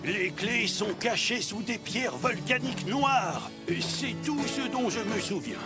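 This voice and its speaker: An older male truck character voiced by an actor from a children's cartoon. The delivery is theatrical and expository, with an animated, widely moving pitch. Loud music plays behind the voice. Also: measured pace, lightly acted. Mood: theatrical, mysterious. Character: Sam the pirate and Grandpa Ted, older male trucks. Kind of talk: French children's cartoon acting.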